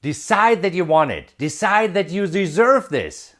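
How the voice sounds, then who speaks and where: A man in his forties giving an emphatic motivational talk, asking rhetorical questions and building excitement. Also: high-pitched, a little slow. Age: 40s. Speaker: self-help video presenter.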